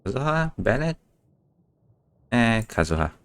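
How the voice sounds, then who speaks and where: A man's voice: medium pitch, big pitch swings, measured pace, creaky, fairly bright tone. A man giving casual, unscripted live gameplay commentary as a streamer, muttering to himself. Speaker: game streamer. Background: faint game music.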